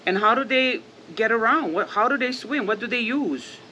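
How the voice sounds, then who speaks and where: A woman's voice: fairly high pitch, moderate pace, pushed and bright, a little hiss or hum, poor audio. A woman hosting a children's storytime, reading aloud and prompting young listeners with simple questions. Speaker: storytime host and reader.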